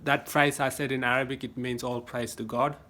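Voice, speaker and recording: A man's voice: mid-range voice, level tone, fairly quick, pushed and bright. An Australian man talking casually into his phone camera, giving rambling folksy life advice with lots of fillers and restarts. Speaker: vlogger. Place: home gym.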